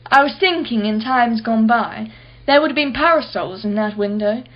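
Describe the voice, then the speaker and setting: A woman's voice: fairly high pitch, expressive, moderate pace, muffled recording. An actress playing an imperious, wealthy businesswoman in a radio play, guarded and impatient, speaking in a clipped, formal way. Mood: wistful, reflective.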